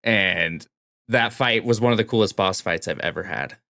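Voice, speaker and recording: A man's voice: on the low side, moderate pace, gravelly, bright, forceful tone, dry recording, studio quality. A man co-hosting a casual gaming podcast, telling stories in an informal, enthusiastic way. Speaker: podcast co-host. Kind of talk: casual chat about video games.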